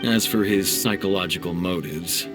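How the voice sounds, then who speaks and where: Dutiful game officer, acted by a man, lowish male voice, earnest, matter-of-fact, explanatory delivery. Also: normal speed, music in the background, natural voice. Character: earnest, duty-bound male commission officer. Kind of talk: video game voice acting.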